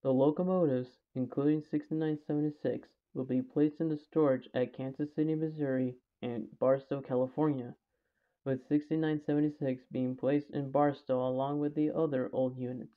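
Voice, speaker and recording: A man's voice: medium pitch, level tone, moderate pace. A man reading scripted documentary narration, occasionally stumbling over the script. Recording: tinny, phone-like narrow band.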